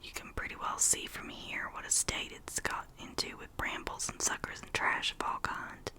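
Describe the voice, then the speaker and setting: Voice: moderate pace, bright, forceful tone. A whispering reader doing an old shepherd. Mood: grave. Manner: whispered storytelling voice. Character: old rustic male shepherd, grave and superstitious.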